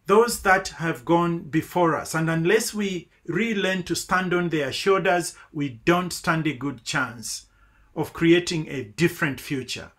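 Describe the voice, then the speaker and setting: Slow, earnest man from Zambia. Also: fairly high pitch, a bit bright. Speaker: webinar speaker. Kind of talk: reflective closing remarks. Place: home office with bookshelves. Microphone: video call.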